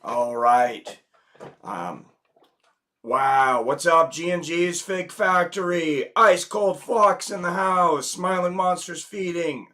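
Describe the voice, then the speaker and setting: Slightly hoarse man chatting on a live stream. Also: on the high side, slow, sharp tone. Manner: chatty, greets viewers, reads an article aloud. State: slightly hoarse from a head cold. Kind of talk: casual live stream chat. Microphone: desk microphone.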